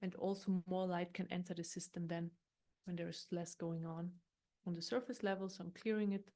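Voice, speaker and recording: Woman gently guiding a meditation. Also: on the low side, fairly even intonation, a little fast. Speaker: meditation guide. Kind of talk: guided meditation, unscripted narration.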